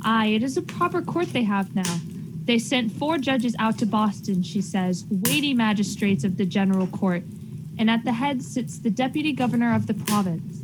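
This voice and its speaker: A woman's voice: a little high, expressive, normal speed, background noise, close to an everyday voice. A woman playing an anxious, insistent Puritan farmer's wife in a radio drama, earnest and pressing in old-fashioned phrasing. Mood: troubled. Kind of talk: period radio drama acting.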